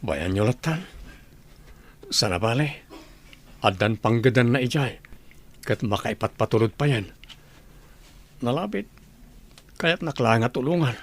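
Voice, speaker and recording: A man's voice: medium pitch, expressive, measured pace, pauses often, husky. An actor playing a troubled husband and father in a village radio drama, speaking in a weary, emotional way.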